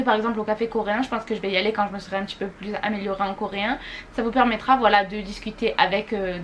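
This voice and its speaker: A young woman vlogging a casual monthly recap, gushing and repeating words for emphasis. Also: on the high side. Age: young adult. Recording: faint background noise.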